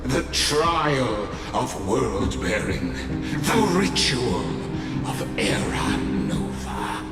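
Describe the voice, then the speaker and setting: A man's voice: medium pitch, sing-song, extremely slow. An actor playing a sinister, theatrical male overseer in a video game, speaking with grandiose, mocking courtesy. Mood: mockingly admiring. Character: smooth, theatrical scheming man, a sinister masked overseer. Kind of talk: dramatic video game voice acting. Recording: echoey hall reverb, loud music.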